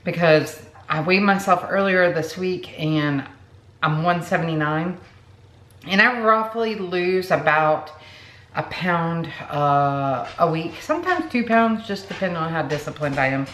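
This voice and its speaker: A woman's voice: fairly low pitch, animated, unhurried, fairly bright tone. Chatty woman in her forties, echoey room. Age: 40s. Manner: chatty, rambling, many fillers and asides. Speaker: vlogger. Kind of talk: casual chat to camera. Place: home room. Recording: echoey room with background noise.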